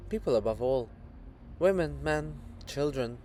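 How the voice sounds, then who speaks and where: A man voicing a troubled princess monument, soft, grave and earnest, in a dramatic reading. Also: medium pitch, animated, measured pace, slight background noise, lightly acted.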